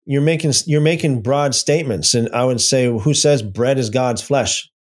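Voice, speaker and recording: A man's voice: medium pitch, normal speed. A man hosting a livestream Bible study, reading out viewer comments and answering them with many restarts and fillers. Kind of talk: casual livestream Bible talk.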